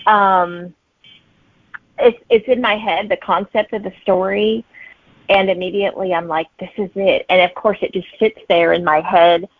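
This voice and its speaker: A woman's voice: medium pitch, fairly even intonation, normal speed, dry recording. Chatty woman on a narrow phone-like line. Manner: chatty, acts out remembered remarks and thoughts. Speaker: guest author. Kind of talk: casual interview chat, personal anecdotes. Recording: narrow, phone-like dry audio.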